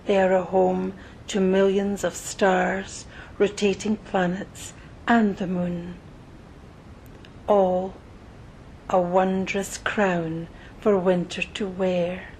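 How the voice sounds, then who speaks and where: A woman narrating a scripted guided meditation in a soothing, measured manner, pausing between short phrases, with soft breathy phrase endings. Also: little pitch movement, rough recording. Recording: noticeable low rumbling background noise.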